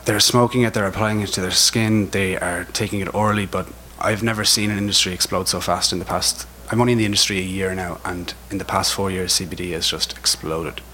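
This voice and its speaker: A man's voice: sits low, fairly even intonation, a little fast, hardly any pauses, sharp tone. A man with an Irish accent speaking informally and enthusiastically as a retailer on a panel, repeating himself for emphasis. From Ireland. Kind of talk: informal spoken presentation. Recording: noticeable background noise.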